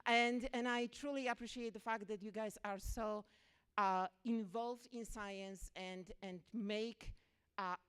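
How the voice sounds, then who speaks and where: Effusive Polish-accented woman with a smooth voice. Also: medium pitch, fairly even intonation, unhurried, fairly bright tone. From Poland. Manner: emotional and effusive, gushing with enthusiasm. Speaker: physics workshop founder. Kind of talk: warm public welcome speech. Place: lecture event hall.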